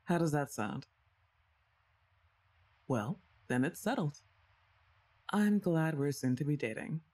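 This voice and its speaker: Unhurried, breathy young woman; a woman voicing a playful older sister who teases softly in an ASMR roleplay. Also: low voice, lively intonation, full character voice. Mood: affectionate. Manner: playful, teasing, soft-spoken.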